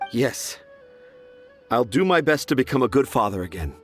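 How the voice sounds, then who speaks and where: A man voicing a gentle, remorseful middle-aged father in a game, hesitant and apologetic. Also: medium pitch, very animated, normal speed, faint music behind, natural voice. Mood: resolved. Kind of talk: game voice acting.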